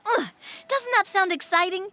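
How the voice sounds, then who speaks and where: Bright, high-pitched daredevil gamer girl. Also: normal speed, soft background music. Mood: excited. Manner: emphatic, enthusiastic. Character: bold, thrill-seeking young female gamer. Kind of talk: video game character dialogue.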